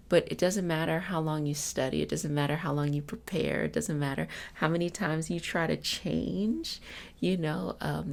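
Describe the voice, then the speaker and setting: A woman with a lowish voice and plenty of vocal fry talks like a self-help coach in an ASMR session. She sounds reassuring and conversational, soothing the listener as she explains things. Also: a little mellow. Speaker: ASMR host and energy healing practitioner.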